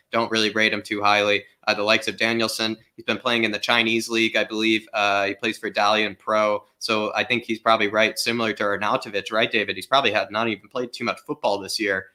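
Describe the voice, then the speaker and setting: A man's voice: fairly low pitch, bright, forceful tone. A man from the United States, co-hosting a football watchalong and giving rambling analysis. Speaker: co-host. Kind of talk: casual football chat.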